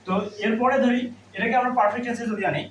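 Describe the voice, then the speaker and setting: A man's voice: extremely high pitch, fairly even intonation, rapid-fire. A man teaching a grammar lesson step by step, asking questions and answering them himself. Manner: instructive, asks and answers own questions. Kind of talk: classroom lesson, explaining step by step. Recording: roomy, distant mic, faint background noise.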